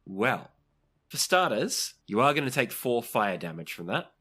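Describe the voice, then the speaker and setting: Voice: medium pitch, big pitch swings, unhurried, fairly bright tone, breathy edge. A game master running a tabletop role-playing game, narrating scenes and calling for rolls in a conversational way.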